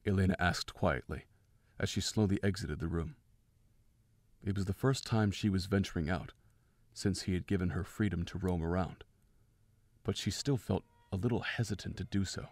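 Low-pitched male story narrator. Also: moderate pace, darkish tone, no echo.

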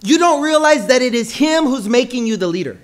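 A young man preaching in a church hall, playful and joking, pausing often for an interpreter. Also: very high voice, animated. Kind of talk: sermon with live interpreter.

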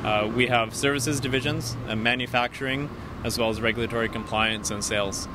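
A man in his thirties giving measured, businesslike explanations as an executive interviewed outdoors on a handheld microphone. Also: mid-range voice, steady tone. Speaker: company CEO being interviewed. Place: outdoors on a busy city street. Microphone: handheld interview microphone held by interviewer. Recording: clear street and traffic noise.

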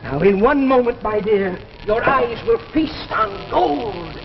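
An older man playing an obsessed, desperate elderly alchemist father, with theatrical, excitable delivery in a 1950s television drama. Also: sits high, takes it slowly, noisy background, exaggerated voice. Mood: tense anticipation. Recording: dull old TV soundtrack, loud music behind.

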